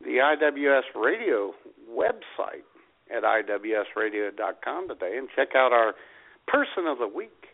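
A man co-hosting a casual comedy radio show, telling stories in an animated, exasperated way. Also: medium pitch, normal speed, gravelly. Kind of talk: casual comic banter and storytelling. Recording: tinny phone-line internet radio sound.

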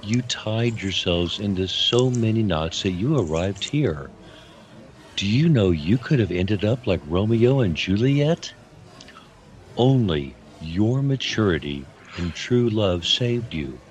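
Man acting deep, slow, fatherly mystery guide. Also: lively intonation. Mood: earnest, reassuring. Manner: gentle, deliberate, reassuring. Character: calm, wise, fatherly mystery man guiding souls on a train. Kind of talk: fantasy audio drama acting.

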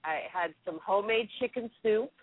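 A woman with a deep voice, sick with a cold, chatting casually and giggling as co-host. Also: normal speed, slightly rough, no echo. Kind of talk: casual chat. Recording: tinny phone line.

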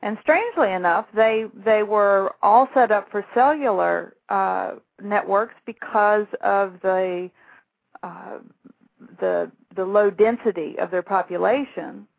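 A woman's voice: mid-range voice, takes it slowly, vocal fry, husky, no room sound. A middle-aged woman from Louisiana with a Southern accent, telling stories from her career as a guest, rambling and self-deprecating. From Shreveport, Louisiana. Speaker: author and guest. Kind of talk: phone interview, storytelling. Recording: tinny phone line.

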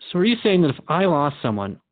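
A man's voice: on the high side, dry recording. A man playing an earnest, respectful radio host in a drama, questioning in a conversational, hesitant and probing way. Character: earnest, questioning male radio interviewer, respectful but troubled. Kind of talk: radio drama interview acting. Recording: dull lo-fi, dry audio.

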